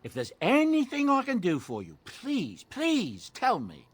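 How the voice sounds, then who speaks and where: A man's voice: extremely high pitch, huge pitch swings, measured pace, slightly rough, heavily acted. A man voicing a genial older dwarf merchant in a fantasy game, telling stories in a courteous, theatrical, earnest way. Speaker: travelling merchant. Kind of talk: video game character dialogue, storytelling.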